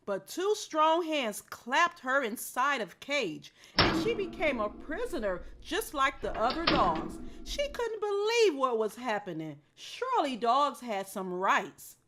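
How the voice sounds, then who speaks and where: Female storybook narrator, lively and bright, over light music. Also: sits high, measured pace, few pauses. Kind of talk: animated scripted children's story read-aloud.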